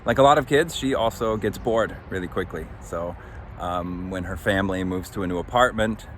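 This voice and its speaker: A man's voice: deep voice, normal speed, a bit bright. A man hosting a podcast as its author, giving a casual, unscripted recap and searching for his words. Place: outdoors under a tree. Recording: faint outdoor traffic-like noise. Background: faint outdoor urban ambience.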